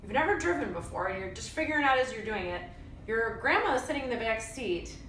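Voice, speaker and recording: Lively woman reading aloud in an echoey room. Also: a little high, quick pace, a little hiss or hum. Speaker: teacher reading a chapter book aloud. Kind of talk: expressive read-aloud storytelling. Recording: roomy echo, distant mic.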